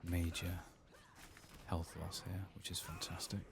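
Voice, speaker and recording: A soft-spoken man murmuring and whispering ASMR commentary as he plays a video game. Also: low voice, completely flat delivery, measured pace. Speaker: ASMR gaming streamer. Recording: clear background noise with clicking.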